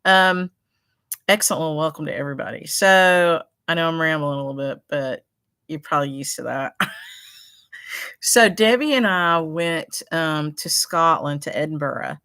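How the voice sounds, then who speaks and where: Middle-aged woman rambling on a craft livestream, low voice, dry close mic, keeps saying hi to people. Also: normal speed, a bit bright, clear, resonant tone, no room sound. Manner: rambling, greets viewers by name, laughs often. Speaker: stitchery shop owner hosting a livestream. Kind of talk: casual livestream chat. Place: her shop in a small town.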